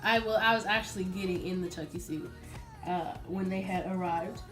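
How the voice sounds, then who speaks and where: A young adult American woman telling a personal story casually to her viewers in an informal, animated way. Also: lowish voice, normal speed, faint music behind, rough recording. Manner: chatty, informal, animated retelling with acted-out quotes. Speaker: vlogger.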